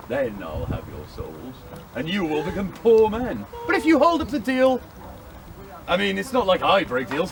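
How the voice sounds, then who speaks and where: A man voicing a dapper, smooth-talking devil with a wandering French accent, recorded outdoors with street noise. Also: high-pitched. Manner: wheedling, bargaining, wavering French accent.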